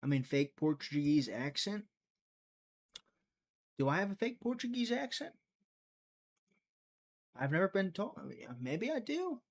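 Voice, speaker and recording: A man hosting a live prediction stream, chatting casually, thinking out loud and talking to his viewers, sometimes trailing off into a mutter. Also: high-pitched, sing-song, unhurried, long pauses. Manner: chatty, thinks aloud, talks to chat.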